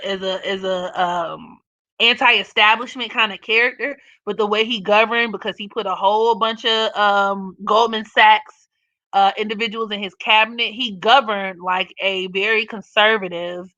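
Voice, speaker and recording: A young woman with a raspy voice hosting a casual live stream, reading comments and giving blunt, profane opinions with frequent laughs. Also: medium pitch, fairly even intonation, a little slow, bright, forceful tone, dry recording, muffled recording.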